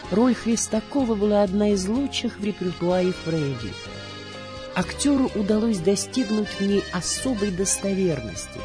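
A woman presenting a radio programme in a scripted, measured and literary narration, with a declamatory touch. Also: lowish voice. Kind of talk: scripted documentary narration about theatre. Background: music under the voice.

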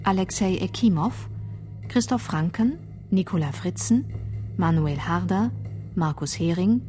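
A woman reading scripted radio drama credits as an announcer, in a measured, orderly manner. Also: fairly low pitch, expressive, dark tone. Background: faint music.

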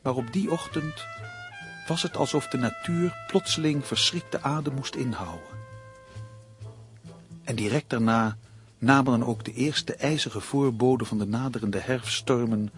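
A man's voice: medium pitch, a little slow, over music, natural voice. An actor playing a brooding, put-upon male writer in a Dutch radio play, in narration and dialogue. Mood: grave, ominous.

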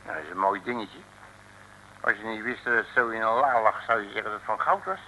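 An actor playing a cocky, blustering detective with colourful slang and mock threats in an old Dutch comic radio serial. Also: male voice, fairly low pitch, fast talker, bright, forceful tone. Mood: puzzled, casual. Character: cocky, blustering, streetwise male detective, bluffing his way through. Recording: faintly noisy old radio recording.

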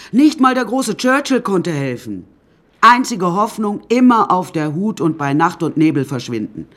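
An actor playing a wry, rambling storyteller who recounts a troubled childhood in a radio drama monologue, with hesitant asides. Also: male voice, fairly high pitch, a bit bright.

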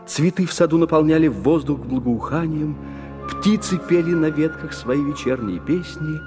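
A man's voice: mid-range voice, close to an everyday voice. A man playing the narrator of an Eastern fairy tale, in lyrical, theatrical scripted narration.

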